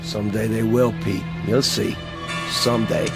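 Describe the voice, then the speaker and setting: A man's voice: fairly low pitch, steady tone, normal speed, creaky, over loud music. An actor playing a menacing but loyal male extremist follower in a radio drama, speaking in grim vows. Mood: reassuring. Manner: grim, vowing.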